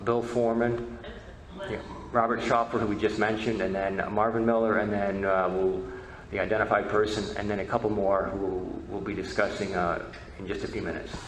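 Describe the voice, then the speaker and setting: A man giving an informal history lecture as presenter, halting and often repeating words. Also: lowish voice, little pitch movement. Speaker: presenter, media history researcher. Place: presentation room at a convention. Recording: echoey room with background noise.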